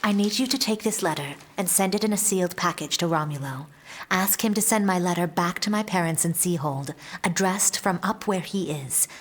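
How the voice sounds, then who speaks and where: A woman playing an anxious, guarded young noblewoman in a fantasy audio drama, speaking in measured, formal, earnest phrases. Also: on the low side, close to an everyday voice. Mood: earnest, insistent.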